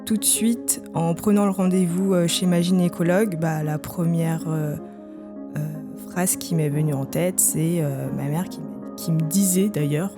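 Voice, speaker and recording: A woman in her thirties, a podcast guest telling her own story candidly, thinking aloud with frequent hesitant pauses. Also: lowish voice, steady tone, music in the background. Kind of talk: candid personal testimony.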